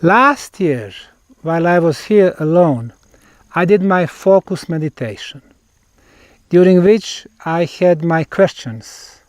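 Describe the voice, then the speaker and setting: A man with a Bosnian accent explains a site on location as a researcher, in deliberate, emphatic short phrases with pauses. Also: a little high, expressive, no room sound. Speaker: researcher presenting a field investigation.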